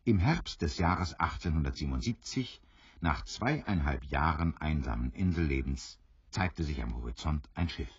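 A man narrating an adventure radio play as a grave, expressive storyteller who builds suspense. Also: a bass voice, normal speed, slightly rough, no room sound, full character voice. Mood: suspenseful. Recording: slightly dull, dry studio recording.

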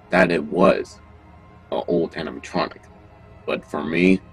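A man acting an evasive, sinister restaurant owner with halting delivery in an amateur horror audio drama. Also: low voice, vocal fry, breathy edge, full character voice. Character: evasive, sinister male animatronic restaurant owner hiding murders.

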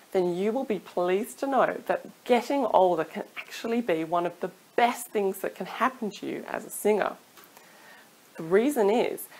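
A woman's voice: mid-range voice, rough recording. Chatty encouraging woman singing teacher. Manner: chatty, encouraging, laughs often. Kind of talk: casual advice vlog to camera. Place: small home room indoors. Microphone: studio mic off camera.

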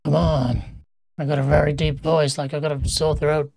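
A man's voice: on the high side, level tone, normal speed, gravelly, a little mellow, a little hiss or hum. A man casually commenting as he plays a horror game, muttering questions to himself as he explores. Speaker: gaming YouTuber. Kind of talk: casual let's play commentary.

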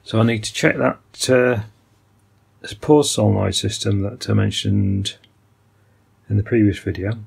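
A man's voice: on the low side, steady tone, measured pace, gravelly, slightly rough. An older man musing through a hands-on repair of vintage gear at his workbench, talking technically with many ums and uhs. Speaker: electronics repair hobbyist. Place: workbench indoors.

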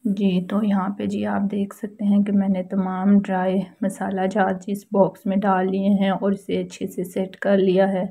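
A woman's voice: medium pitch, completely flat delivery, moderate pace, vocal fry, few pauses, warm tone. A woman with a Pakistani accent giving a casual how-to voice-over as a home vlogger, explaining things politely and repetitively.

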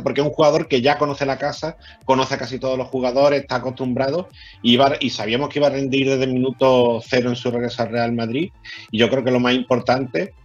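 A man, talking basketball on a video call. He speaks in a measured way with small hesitations. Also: mid-range voice. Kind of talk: casual sports discussion. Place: small room at home. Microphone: headset on a video call. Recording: dull lo-fi video call audio.

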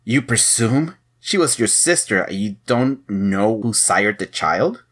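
An actor playing a shrewd, suspicious head agent, probing and mocking, in an audio drama. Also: male voice, lowish voice, expressive, slow pace, a bit bright, breathy edge, heavily acted. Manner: probing, sardonic.

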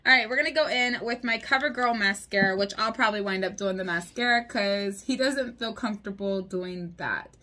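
Bright-voiced congested woman chatting through makeup. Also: medium pitch, a little fast, few pauses. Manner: chatty, giggly, talks while applying makeup. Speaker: beauty vlogger. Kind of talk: casual makeup tutorial. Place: small room at home, indoors. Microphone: camera close in front.

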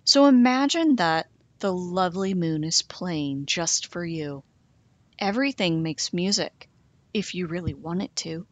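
A woman's voice: on the low side, expressive, a little slow, no room sound. Woman doing a kindly wise cricket. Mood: gentle.

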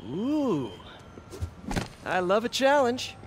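An actor playing a quippy, awkward young superhero with an American accent in a video game, joking and stammering when flustered. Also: male voice, very high-pitched, very animated, very slow, noisy background, exaggerated voice. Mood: playful. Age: young adult. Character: quippy, awkward, good-hearted young male masked superhero. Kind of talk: cinematic video game acting.

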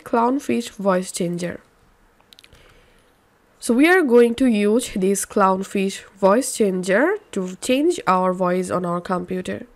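A woman presenting a scripted step-by-step software tutorial in an instructional manner with simple phrasing. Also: fairly high pitch.

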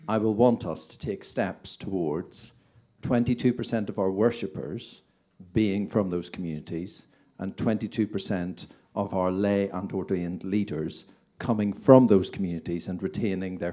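A man with a Northern Irish accent introducing himself in a measured, self-deprecating way on a church panel, holding a microphone in a large conference room. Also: low-pitched, warm tone. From Northern Ireland. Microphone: handheld microphone.

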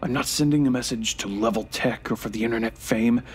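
An actor playing a dying ninety-three-year-old grandfather in an audio drama, speaking in a halting, deliberate way with breathy near-whispers. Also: mid-range voice. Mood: solemn, weary. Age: 90s as played. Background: music.

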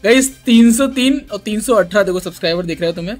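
High-pitched young male streamer. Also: fast talker. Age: young adult. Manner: pleading, complaining. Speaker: live game streamer. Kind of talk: casual livestream chat while gaming. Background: background music.

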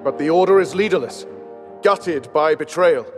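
An actor playing a grave, honorable knight officer, devout and remorseful, in a video game. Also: male voice, on the high side, normal speed, close to an everyday voice. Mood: grave, regretful. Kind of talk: video game dramatic acting. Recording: clear dramatic music underneath.

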